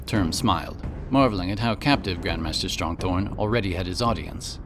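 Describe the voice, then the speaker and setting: A man's voice: low voice, normal speed. A man reading scripted fantasy audiobook narration, with music playing behind him.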